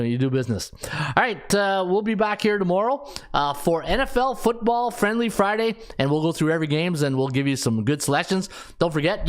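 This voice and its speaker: A man in his fifties hosting a sports betting podcast, breezy and jokey as he rattles off stats with plenty of ums and uhs. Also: a little high. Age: 50s. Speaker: podcast host and sports handicapper.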